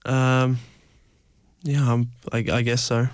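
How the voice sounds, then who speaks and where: A man's voice: mid-range voice, flat delivery, takes it slowly, dry recording. Hesitant young man, very dry sound. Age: 20s. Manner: hesitant, reflective. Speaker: interviewee. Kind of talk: personal interview.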